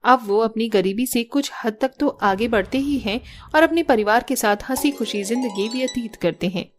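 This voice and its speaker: A woman's voice: fairly high pitch, normal speed, faint music behind. A woman reading scripted narration for a cartoon story.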